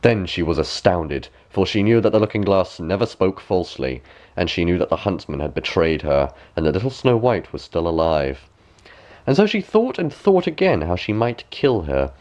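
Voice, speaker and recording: Animated low-voiced man reading a fairy tale. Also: moderate pace. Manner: expressive, animated storytelling. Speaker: storybook narrator. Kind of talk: scripted fairy tale narration, bedtime reading.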